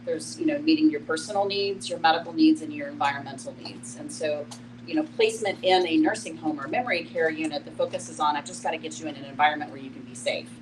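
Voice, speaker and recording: A woman with a low voice talks quickly in an explanatory panel style. She repeats key phrases for emphasis, and clear noise sits behind her.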